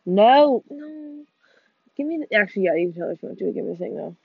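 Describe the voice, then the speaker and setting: A teenage girl co-hosting a casual gaming podcast with friends, talking fast, swearing and running herself down, with excited outbursts. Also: medium pitch, creaky, husky, no room sound. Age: teens. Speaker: podcast co-host. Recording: muffled dull sound, faint music behind.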